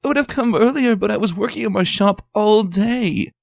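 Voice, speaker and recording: A man's voice: sits high, moderate pace, gravelly, no echo, studio quality, voice pushed up. A man voicing a fussy, theatrical young woman unicorn who speaks in a dramatic, refined, gushing way.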